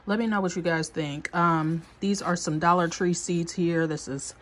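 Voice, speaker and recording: A woman's voice: fairly low pitch, steady tone, normal speed, a little hiss or hum. A young woman who is a bit under the weather, with a stuffy nose, chatting upbeat to viewers as she walks through her indoor seedling shelves, filmed on a handheld phone. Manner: chatty and upbeat, addresses viewers directly with frequent ums. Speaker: gardening vlogger. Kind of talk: casual gardening vlog walkthrough. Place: indoor basement plant shelves under grow lights. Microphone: handheld phone camera.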